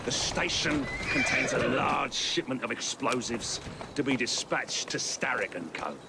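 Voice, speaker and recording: Victorian villain, flamboyant, charming menace, very animated pitch, slow delivery, game dialogue over music. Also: male voice, vocal fry, very noisy. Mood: gleeful, theatrical. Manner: flamboyant, grandiose, savouring words. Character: theatrical, charming male Victorian crime lord, playfully menacing. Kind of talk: dramatic video game acting.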